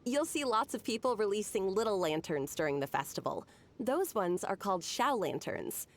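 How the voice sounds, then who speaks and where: A woman's voice: mid-range voice, big pitch swings, normal speed, slight background noise. A woman playing a friendly, knowledgeable townswoman in a video game dub, explaining things in a helpful, proud way. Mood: informative.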